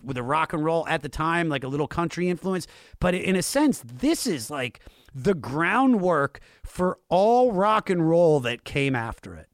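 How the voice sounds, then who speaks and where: Animated high-pitched man chatting about music. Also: dry recording, studio quality. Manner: informal, enthusiastic, uses slang and swearing. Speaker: podcast host. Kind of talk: casual music podcast chat.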